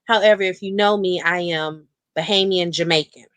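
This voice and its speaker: A woman speaking as a guest author in a candid, casual interview, with an expressive, colloquial and emphatic way of talking. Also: fairly low pitch, moderate pace, no room sound.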